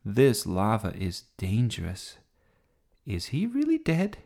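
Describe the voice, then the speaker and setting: A man's voice: fairly low pitch, wildly swooping pitch, takes it slowly, creaky, darkish tone, full character voice. A man voicing an earnest, admiring little girl, an eager and wondering playmate in a children's storybook.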